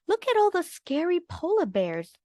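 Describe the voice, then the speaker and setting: A woman voicing a shy little girl in a new home, speaking softly and anxiously. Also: very high voice, huge pitch swings, normal speed, no echo, clean studio sound, full character voice. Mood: scared. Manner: soft, worried, wistful.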